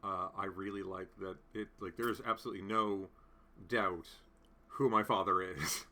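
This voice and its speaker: A man's voice: sits low, fairly even intonation, moderate pace, bright, forceful tone. A man in his late thirties hosting a casual live chat from home, rambling with many fillers and restarts as he answers comments. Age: 30s. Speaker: livestream host.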